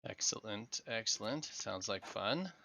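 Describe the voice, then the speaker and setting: Croaky low-voiced man streaming a game. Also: unhurried, no echo. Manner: relaxed, laughing easily while narrating his building. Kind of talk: casual live gameplay chat. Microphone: headset microphone. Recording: dull headset mic sound.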